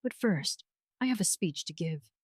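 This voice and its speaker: A woman's voice: on the low side, lively intonation, normal speed, slightly rough, no room sound, studio quality. A woman voicing a tender, resolute young survivor in an audio romance story, speaking earnestly and with measure.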